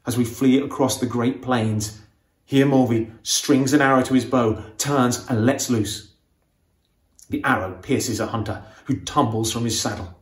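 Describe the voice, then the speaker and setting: A man reading a novel aloud as its author, with expressive, suspenseful storytelling in a dramatic audiobook style. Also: medium pitch, measured pace, reverberant.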